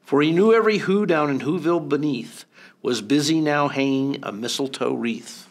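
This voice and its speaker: Man, mayor, reading a kids' rhyming book aloud, expressive, slightly high voice. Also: normal speed. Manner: expressive, rhythmic storybook reading with swings in loudness. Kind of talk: reading a children's storybook aloud.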